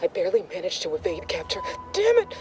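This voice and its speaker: Dark-toned, urgent undercover operative. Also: female voice, fairly low pitch, huge pitch swings, quick pace. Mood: urgent, distressed. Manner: terse, urgent. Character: urgent, rattled female undercover operative reporting in. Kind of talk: dramatic video game dialogue.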